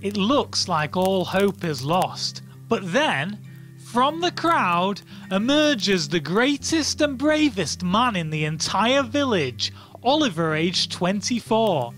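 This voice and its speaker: Animated bedtime storyteller with music behind. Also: mid-range voice, takes it slowly. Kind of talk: storybook narration, informal and comic.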